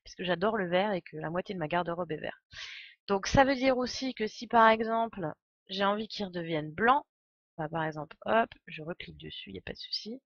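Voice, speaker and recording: Female game streamer, mid-pitched, slightly bunged up with a cold, chatty running commentary, muffled dry recording. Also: fairly quick, dry recording. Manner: thinks aloud, chatty asides to viewers. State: has a cold. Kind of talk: casual live game commentary. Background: faint game music.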